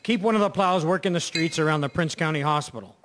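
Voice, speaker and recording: A man's voice: fairly high pitch, quick pace, fairly bright tone, a little hiss or hum, natural voice. A man playing a practical garage foreman. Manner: practical, matter-of-fact. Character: male municipal garage foreman managing plows. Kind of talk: radio drama acting.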